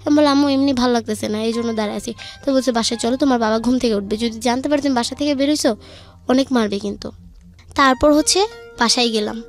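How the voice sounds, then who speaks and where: Young bangladeshi woman, high voice, chatty colloquial storytelling with music under it. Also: fast talker. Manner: colloquial, recounting dialogue turn by turn. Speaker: guest telling own life story. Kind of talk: conversational personal storytelling.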